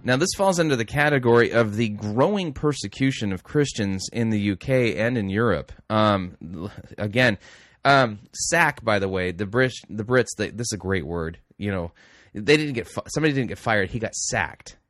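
A man's voice: lowish voice, expressive, normal speed, fairly bright tone, no echo, studio quality. A middle-aged man hosting a talk show, reading news aloud with rambling, self-correcting, wry asides. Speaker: radio host. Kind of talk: casual talk show commentary.

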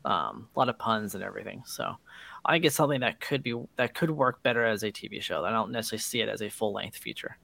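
A man's voice: lowish voice, expressive, fast, creaky, pushed and bright. A man chatting casually as a co-host on a podcast panel.

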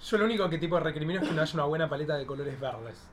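A man's voice: fairly high pitch, very fast, over a noisy crowd. A man with an Argentine accent chatting casually as a drag queen guest, campy and a little hesitant. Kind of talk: casual chat.

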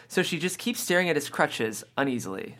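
Narrator reading out script stage directions, medium pitch, table read. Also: sing-song, brisk, fairly bright tone.

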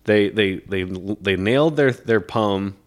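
A man's voice: fairly low pitch, slow pace. A man chatting casually as a podcast co-host, with a joking, playful way of talking. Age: adult parent of a school-age child. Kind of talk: casual chat.

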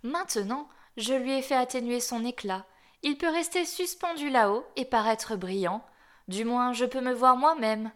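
Storybook casting: a curious, innocent snowman, played by a woman in a fairly high voice. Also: moderate pace, fairly bright tone, close to an everyday voice. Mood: musing. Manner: wondering, innocent questioning. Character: naive newly built male snowman, curious.

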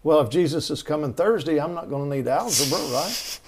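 A man's voice: on the high side, lively intonation, fairly quick, noisy background. A middle-aged man, telling rambling stories as an interview guest, with many restarts. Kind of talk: storytelling conversation.

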